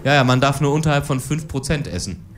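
A woman's voice: very deep voice, fast talker, slight background noise. A woman in her forties performing stand-up political cabaret on stage, with a dry, ironic delivery. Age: 40s. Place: stage before a live audience.

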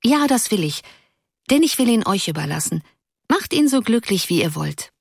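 A woman voicing a willful, dreamy teenage schoolgirl who speaks emphatically and declaims verse softly. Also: mid-range voice, very animated, moderate pace, creaky, husky, no room sound, clean studio sound, voice pushed up, heavily acted. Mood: breezy.

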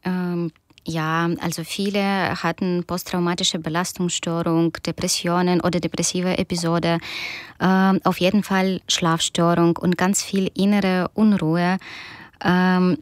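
Woman, German, slow and hesitant, dry close sound. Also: fairly low pitch, flat delivery, smooth, no room sound. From Ukraine. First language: Russian. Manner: thoughtful, many hesitations. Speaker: guest, doctor and novelist. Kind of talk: radio interview answers.

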